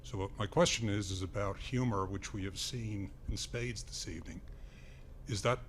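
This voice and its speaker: A man in his sixties from Dublin, putting a question to a panel in a measured, halting, droll way. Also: lowish voice, a little hiss or hum. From Dublin, Ireland. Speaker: audience member asking a question. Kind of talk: jocular live question to a panel.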